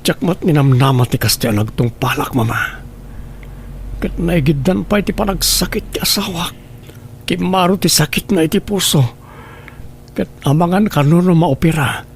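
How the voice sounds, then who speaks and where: A man's voice: on the high side, normal speed, vocal fry, slight background noise. A man playing an ailing, worried grown son in a radio drama, confiding in a subdued, sorrowful way. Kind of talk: Ilocano radio drama acting.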